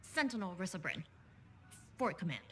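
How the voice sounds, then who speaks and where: An actress playing an awkward, cautious fort sentinel in a sci-fi game, speaking hesitantly. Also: female voice, fairly low pitch, very animated, normal speed, sharp tone, a little hiss or hum. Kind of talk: conversational video game voice acting.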